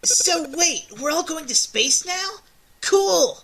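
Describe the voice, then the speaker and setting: A woman's voice: very high voice, lively intonation, slow, a bit bright, exaggerated voice. A woman voicing a chirpy, eager young student mage in a game fan dub. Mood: excited.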